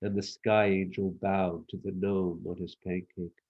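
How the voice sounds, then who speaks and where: A man narrates a children's story at a slow pace. His delivery is measured and expressive, like an author reading his own picture book. The sound is a little dull and lo-fi. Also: sits low.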